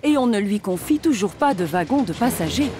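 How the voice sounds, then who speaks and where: A woman's voice: medium pitch, expressive, brisk. A woman giving scripted narration as the storyteller of a children's cartoon. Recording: music behind the voice.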